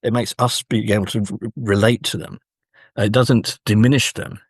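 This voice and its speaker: Male academic for interview, lowish husky voice, close dry sound, thoughtful and halting delivery. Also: fairly even intonation, dry recording. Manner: measured, hesitant, scholarly. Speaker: academic guest, author. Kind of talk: thoughtful literary interview discussion.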